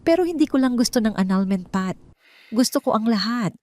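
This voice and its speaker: A woman's voice: on the high side, lively intonation, brisk, mellow, voice pushed up, natural voice. A woman voicing a betrayed wife who is coldly determined and vengeful, speaking in a firm, commanding manner in an audio story. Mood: cold resolve.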